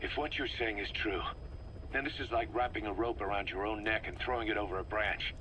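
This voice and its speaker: A man's voice: lowish voice, fairly even intonation, fairly quick, sharp tone, low-quality recording. A man playing a bitter, suspicious soldier in a video game, confronting someone with accusations. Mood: bitter, accusing. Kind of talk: dramatic video game voice acting. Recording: faint noise, recorded tape audio.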